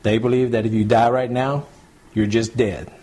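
A man, a pastor teaching scripture to a small home church group in a relaxed, repetitive, conversational way. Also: medium pitch, normal speed. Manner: conversational, repeats points, addresses group as y'all. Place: small home gathering, recorded to CD.

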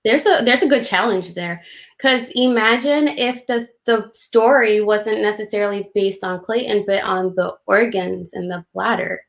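Young adult female, mid pitch, casual and chatty, illustrator talking on a home video call. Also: moderate pace, gravelly. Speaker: children's book illustrator. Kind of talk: casual conversational book talk. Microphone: video call, computer at desk distance.

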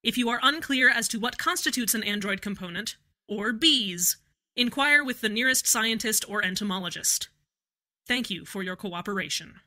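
Woman doing a fussy android lady on the radio, prim and exact, kinda high, talks down a bit. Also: expressive, normal speed, sharp tone. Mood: precise. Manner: formal, exacting, mildly condescending. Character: prim, precise female android speaking on radio. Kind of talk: fan audio drama acting.

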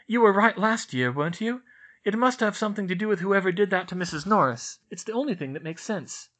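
A man voicing a clever, earnest schoolgirl witch who talks briskly. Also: high voice, expressive, fairly bright tone, voice pushed up.

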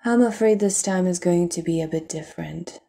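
A woman's voice: on the low side, normal speed, gravelly, dark tone, a little hiss or hum. A woman acting a cool, sly smuggler in a dramatic audio roleplay, speaking in a pointed way. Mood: cool, needling. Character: cool, sly female smuggler trading with pirates.

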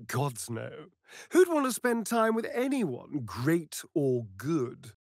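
A man's voice: a little high, huge pitch swings, extremely slow, no echo, heavily acted. A vain, flirtatious male vampire rogue from a fantasy video game. He has a British accent and an arch, theatrical manner, lingering over his words with sweeping, sardonic intonation. The sound is close and dry. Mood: flirtatious, teasing.

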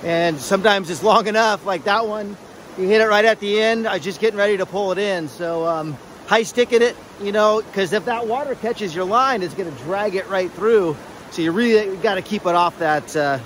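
A man's voice: high voice, fairly quick, a bit bright, slight background noise. A man filming himself fly fishing outdoors by a river, talking casually with many fillers and restarts.

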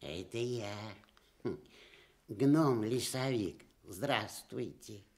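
An actor playing a little forest gnome, excitable and full of wonder, in a Soviet children's radio play. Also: male voice, mid-range voice, drawn-out words. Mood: shy, hushed.

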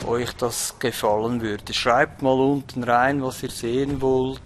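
A man's voice: medium pitch, a little hiss or hum. A Swiss man with a Swiss German accent, rambling bluntly with many fillers while commenting on his own gameplay. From Switzerland. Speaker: gaming channel host.

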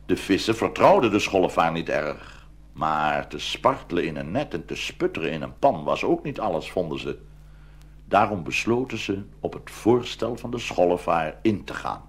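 A man telling a fairy tale as a scripted narrator, with a husky, creaky voice. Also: low-pitched, big pitch swings, moderate pace. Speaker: storyteller.

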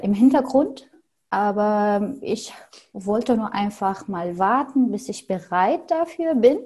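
A young woman from Syria whose first language is Arabic speaks in a soft, mellow tone. She answers slowly and thoughtfully, pausing often with fillers as she searches for the words. Her voice comes through a headset mic on a video call. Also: mid-range voice. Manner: thoughtful, hesitant with frequent fillers. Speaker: podcast guest. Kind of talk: casual interview answers. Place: small home room with paintings on wall.

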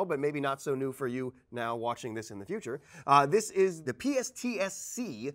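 A man in his thirties giving an informal, conversational video tutorial as a training instructor, explaining things on a lapel mic in a studio. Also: on the high side. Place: indoor presentation studio at a desk.

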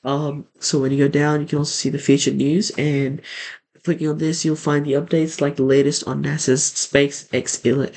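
Male tech channel host for a website walkthrough narration; fairly high voice, noticeable vocal fry. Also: little pitch movement, normal speed, a little mellow, rough recording. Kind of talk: scripted screen-tour narration.

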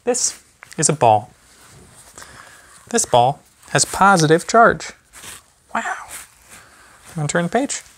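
Man in his thirties reading gently to baby. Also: fairly high pitch, big pitch swings, takes it slowly, pauses often. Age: 30s. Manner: gentle parent talking to a baby. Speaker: physics teacher. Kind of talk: children's story reading with chatty asides. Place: small carpeted or wood-floored room at home, indoors. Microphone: phone camera a few feet away.

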